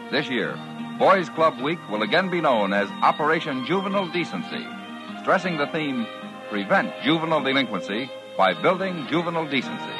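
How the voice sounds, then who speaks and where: A man's voice: fairly high pitch, big pitch swings, brisk, poor audio. A man announcing from a script in the style of a 1950s radio show. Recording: vintage radio broadcast with music. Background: music.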